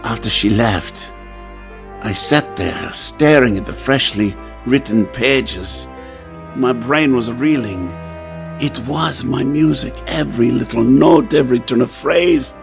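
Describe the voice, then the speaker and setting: An actor playing an obsessive, grandiose composer in theatrical old-time radio style, with intense, halting delivery. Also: male voice, mid-range voice, gravelly, over music. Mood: stunned, brooding. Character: tormented, obsessive male composer, feverish and grandiose. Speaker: lead actor in radio play.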